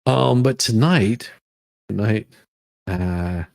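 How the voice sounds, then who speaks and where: A man hosting a casual livestream, chatting to viewers in a rambling, halting way that often repeats words. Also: fairly low pitch, creaky, dry recording, clean studio sound. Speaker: livestream host. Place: room at home.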